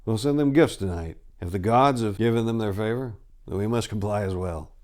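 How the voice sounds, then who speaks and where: Man as a low-voiced, musing warrior prince. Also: brisk, a little mellow, lightly acted.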